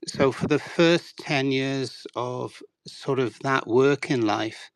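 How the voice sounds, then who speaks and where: A man in his sixties from Wales speaks as an interview guest, telling his story in a loose, self-deprecating way with plenty of "sort of" and "um". His voice is smooth and resonant, recorded dry and close with no room sound. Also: medium pitch, slow pace, no echo, poor audio. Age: 60s. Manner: rambling, self-deprecating, many hedging fillers.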